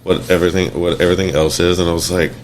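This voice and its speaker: A middle-aged man with a raspy voice, chatting casually as a podcast guest in a halting, rambling way that repeats words. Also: low-pitched, flat delivery. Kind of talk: casual chat. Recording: clear background noise, room ambience.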